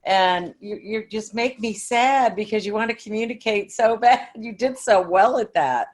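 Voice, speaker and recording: A woman's voice: medium pitch, normal speed. An older woman co-hosting in casual conversation, effusive and admiring as she talks. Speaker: podcast co-host.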